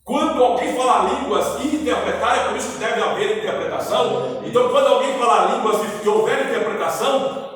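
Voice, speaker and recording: A high-pitched man with a Brazilian accent teaches his congregation, tossing in quick check-ins and stumbling restarts. He sounds distant from the microphone, with long, booming reverb from a big hall and a faint hiss behind him. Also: a bit bright, soft background music. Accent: Brazilian Portuguese. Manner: repeats "tá?" to check with listeners, many restarts. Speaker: preacher. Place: large church hall. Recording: echoey church hall from far off, light noise.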